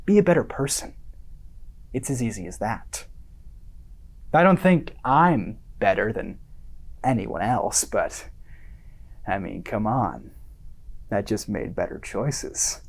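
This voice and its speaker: An actor performing a self-satisfied content creator, slow deliberate delivery, swooping pitch, condescending, preachy, theatrical radio monologue. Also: expressive, vocal fry, husky, full character voice.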